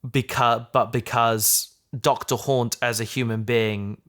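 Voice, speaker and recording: A man's voice: medium pitch, little pitch movement, slow pace, fairly bright tone. A man in a casual discussion, musing reflectively and posing questions as he thinks. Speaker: audio drama podcaster.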